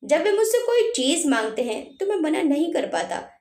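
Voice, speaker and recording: A woman's voice: high-pitched, sing-song, normal speed, a little mellow, voice pushed up. Lady doing village dad for a story, spoils his kids but can get firm, echoey room. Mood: indulgent. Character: doting, indulgent father, village headman, turning stern.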